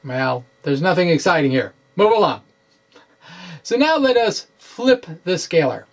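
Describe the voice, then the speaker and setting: Middle aged guy explaining science kinda dramatically, really slow with halting phrasing, up close on the mic. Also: sits high. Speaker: science blogger presenting. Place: small indoor room with bookshelves. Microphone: microphone close in front on a stand.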